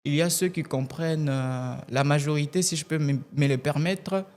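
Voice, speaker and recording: Man, Tshiluba native, from Kasai-Oriental, gospel singer, interview guest, measured, repeats words, a bit high-pitched. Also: steady tone, darkish tone. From Kasai-Oriental, DR Congo. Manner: measured, repeats words while searching for phrasing. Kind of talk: interview answers.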